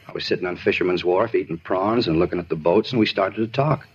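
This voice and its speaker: Male actor as rambling, earnest American crime suspect, low and fast, vintage radio drama. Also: slight background noise, lightly acted. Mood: wistful, rambling. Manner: rambling, repetitive, insistent, plain-spoken. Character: talkative murder suspect, earnest, aggrieved, disturbed man. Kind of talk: 1950s radio drama acting. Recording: dull vintage radio recording.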